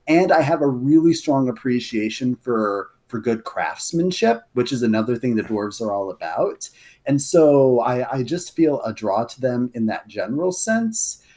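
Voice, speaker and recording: A young man with a deep voice chats as a podcast guest. He tells his stories eagerly, stumbling over restarts and fillers along the way. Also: sing-song, moderate pace, dark tone. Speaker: guest, lore writer. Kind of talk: casual podcast chat.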